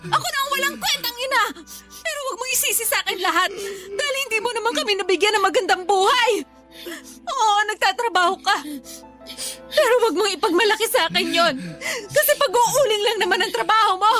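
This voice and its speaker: An actress playing a mother who cries and denies blame, shouting back at her husband. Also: extremely high pitch, fairly quick, faint music behind. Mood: bitter. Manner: crying, hysterical denials. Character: defensive, guilt-stricken mother. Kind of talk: audio drama acting.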